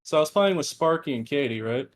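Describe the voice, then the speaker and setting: A man guest on a podcast with a monotone voice, explaining things in a halting, plain way. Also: a little high, slight background noise. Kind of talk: casual interview answers.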